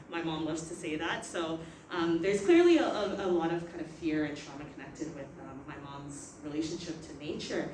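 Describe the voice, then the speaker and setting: A young woman from Canada, moderating a casual panel talk in a reflective way and laughing often. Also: on the low side. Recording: echoey room, far from mic, noisy.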